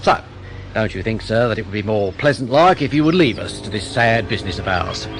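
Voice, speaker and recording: A man's voice: on the low side, lively intonation, a little fast, lightly acted. Guy doing a polite welsh country fella, radio play, soothing and a bit evasive, music behind. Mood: smoothly evasive. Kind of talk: radio drama acting.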